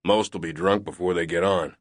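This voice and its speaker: Glum gruff loadmaster guy, short clipped lines, low voice, narrator putting him on. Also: steady tone, normal speed, no echo, studio quality, close to an everyday voice. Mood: laconic. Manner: terse, laconic.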